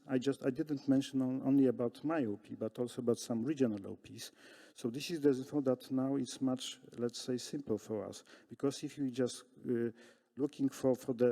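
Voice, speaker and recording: A man with a Polish accent answering as a conference panelist, halting with many fillers and restarts. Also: medium pitch, dark tone. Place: large conference hall. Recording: long hall reverb.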